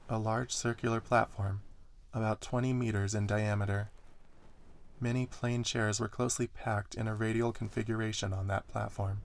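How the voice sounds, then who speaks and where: A man's voice: lowish voice, gravelly. A man reading scripted first-person story narration in an ASMR style.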